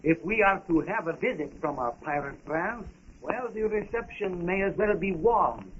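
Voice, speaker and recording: High-voiced French lieutenant. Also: male voice, lively intonation, normal speed. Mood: wary, courteous. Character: courteous French naval lieutenant, wryly ready for battle. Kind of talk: 1930s radio acting. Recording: muffled, hissy 1930s radio recording.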